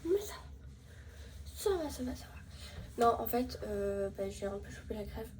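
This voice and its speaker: A woman's voice: on the high side, lively intonation, unhurried, a little mellow. A young woman with a French accent chatting casually in a travel vlog, rambling with fillers and stuffed up with a cold. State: has a head cold. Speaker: vlogger. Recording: noisy ambience, distant from mic.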